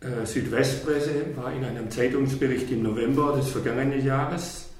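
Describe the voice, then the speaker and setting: A man's voice: medium pitch, fairly even intonation, a little mellow. Formal male interviewer, echoey and distant. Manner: measured, formal questions. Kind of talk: radio interview. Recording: echoey room, off-mic.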